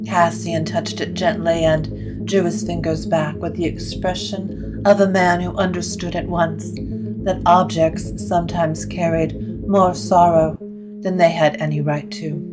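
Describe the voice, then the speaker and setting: A woman narrating a scripted gothic story in a measured, literary way. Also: fairly low pitch, little pitch movement, music in the background, low-quality recording.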